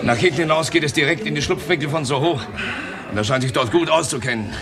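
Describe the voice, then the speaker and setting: A man's voice: fairly high pitch, quick pace, a crowd in the background, close to an everyday voice. A man acting an alert police officer reporting urgently to his chief in a 1960s radio crime drama.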